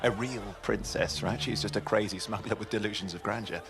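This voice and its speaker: A man playing an excitable, sarcastic young pilot sidekick in a video game cutscene, chatty and dramatic in delivery. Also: on the low side, fairly quick, gravelly.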